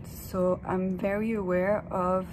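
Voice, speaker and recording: A young woman vlogging to camera from a hotel room, talking softly and reflectively, hesitant with fillers. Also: medium pitch, level tone, slow pace, background noise. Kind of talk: casual vlog talk to camera.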